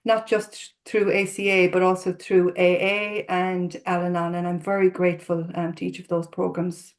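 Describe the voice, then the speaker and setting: A woman's voice: fairly low pitch, flat delivery, normal speed. A middle-aged Irish woman, a therapist, giving an earnest, openly personal talk to an online recovery group over a video call. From Ireland. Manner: earnest, open and self-disclosing. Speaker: therapist and guest speaker.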